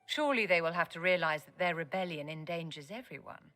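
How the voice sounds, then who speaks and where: A poised noblewoman mage, played by a woman in a fantasy game. She speaks low and formally, with measured condescension and total self-assurance. Music plays softly underneath. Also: lightly acted. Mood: poised, imperious. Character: poised, aristocratic court enchantress, haughty and self-assured. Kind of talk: video game dialogue.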